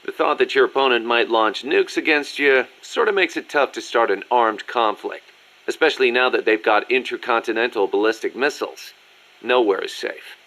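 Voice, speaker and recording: A man's voice: mid-range voice, a bit bright, poor audio. A man playing a businesslike, articulate military second-in-command explaining things in a video game. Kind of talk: scripted video game briefing dialogue.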